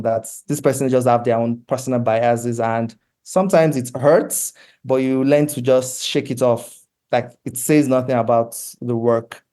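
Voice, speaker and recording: A man with a Nigerian accent, giving earnest, measured answers in a conversational interview. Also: mid-range voice, warm tone. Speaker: comic book creator, interview guest.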